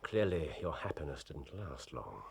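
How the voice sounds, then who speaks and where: An actor playing a coolly self-assured Victorian gentleman detective in a period drama, precise, dry and probing, with a husky voice. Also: extremely low pitch, big pitch swings, normal speed, slight background noise, exaggerated voice. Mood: probing, stern.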